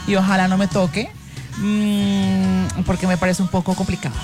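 A woman's voice: medium pitch, level tone, moderate pace, music in the background. A young woman co-hosting a casual chat, agreeable and exclamatory. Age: 20s. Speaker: co-host.

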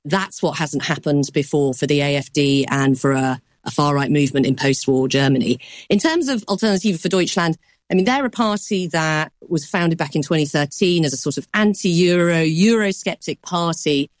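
A woman news correspondent giving a measured explanation on a news program, softening points with qualifiers. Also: sits low, no room sound, studio quality.

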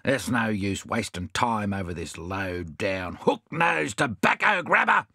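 A man's voice: fairly low pitch, very animated, a little slow, gravelly, pushed and bright, slightly rough, dry recording, clean studio sound, exaggerated voice. A man playing a blustering, hot-tempered adventurer in a storybook, with an indignant, roaring delivery. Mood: boiling rage. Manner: roaring, indignant, colourfully abusive.

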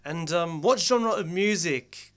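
A man's voice: sits high, expressive, slow. A man hosting a radio interview in a casual, hesitant way, full of fillers and restarted questions. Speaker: radio host.

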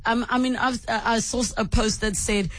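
A woman's voice: fairly high pitch, fairly even intonation, normal speed, fairly bright tone. A woman with a South African accent hosting a casual radio interview and reading out messages. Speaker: radio show host.

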